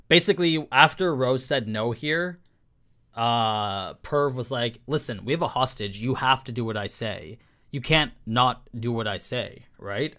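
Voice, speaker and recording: Young guy streaming, kinda hoarse, mid pitch, rambly with lots of ums. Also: fairly bright tone. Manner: rambling, many fillers and restarts, reads chat aloud. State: slightly hoarse from talking. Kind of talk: casual live-stream chat.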